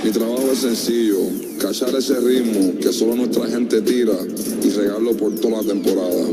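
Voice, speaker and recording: A man reading a scripted commercial voice-over in a smooth, rhythmic way. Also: fairly low pitch, steady tone, brisk. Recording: voice-over with loud music bed.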